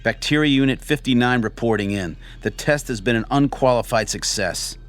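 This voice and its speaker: A man's voice: medium pitch, moderate pace, lightly acted. An actor playing a ruthless male alien invasion soldier in a radio drama, stern, clipped and commanding. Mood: coldly dutiful.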